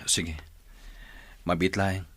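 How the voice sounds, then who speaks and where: A low-voiced, husky young employee in a radio drama. He is hesitant and subdued, answering his superior with puzzled respect. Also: level tone, very slow, fairly bright tone, no room sound, full character voice. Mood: puzzled, agreeable. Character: deferential, puzzled young male employee summoned by his boss. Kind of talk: Ilocano radio drama acting.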